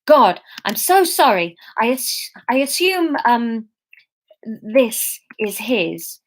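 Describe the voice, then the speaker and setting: Woman reading as a young man, flustered, stammering, apologetic, lively up-and-down voice. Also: on the high side, slow, full character voice.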